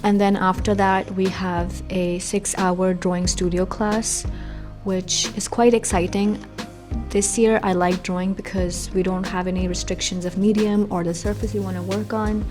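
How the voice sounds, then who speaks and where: A woman's voice: medium pitch, level tone, normal speed, music in the background. A young woman who speaks Urdu natively, chatting casually in English with Urdu phrases mixed in as she narrates a vlog about her week at art college. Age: young adult. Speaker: art student vlogger.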